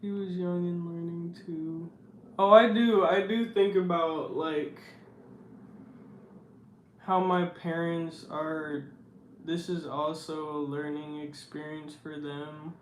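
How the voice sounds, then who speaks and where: A young man with a baritone voice, chatting slowly and reflectively on his livestream. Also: little pitch movement, a little hiss or hum. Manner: slow, reflective and soft-spoken. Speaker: livestream host. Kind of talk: casual livestream chat reading comments. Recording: roomy echo.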